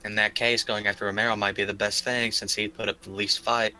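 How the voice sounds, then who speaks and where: A man's voice: fairly low pitch, flat delivery, a little fast, sharp tone, clear, resonant tone, soft background music, poor audio. A man playing a tabletop roleplaying game, chatting in a casual, slangy way.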